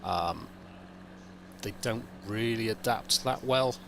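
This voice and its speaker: A man streaming a sim race, with a croaky, hungover voice, rambling and thinking aloud in casual chat. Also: on the low side, slow pace, background noise. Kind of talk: casual live-stream commentary.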